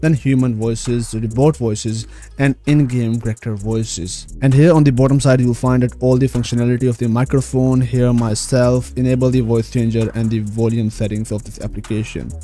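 A man's voice: medium pitch, flat delivery, normal speed, mellow. A man narrating a screen tutorial as host, repeatedly addressing viewers directly. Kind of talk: screen-recorded tutorial voice-over.

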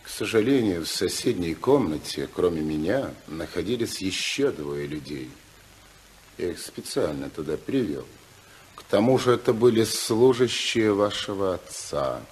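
An actor playing a smug, coldly calculating villain in a 1950s radio drama, measured and insinuating, on an old recording. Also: male voice, deep voice, animated, slight background noise.

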